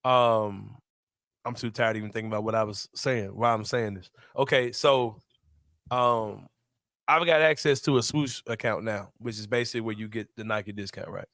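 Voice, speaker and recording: A man in his forties from Memphis, hosting a sports podcast in a relaxed, slangy, laughing way. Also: on the low side, normal speed, no echo, clean studio sound. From Memphis, USA. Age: 40s. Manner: laid-back, slangy, laughs often. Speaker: podcast host. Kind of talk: casual sports podcast chat.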